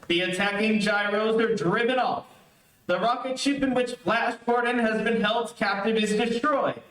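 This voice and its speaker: A man's voice: high voice, a bit bright. A man reading scripted narration for an old-time radio adventure serial. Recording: echoey, distant streamed stage audio.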